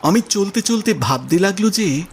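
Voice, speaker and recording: A man's voice: sits high, animated, moderate pace, vocal fry, a little hiss or hum. A man narrating a scripted literary story as the narrator of an audio drama. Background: music.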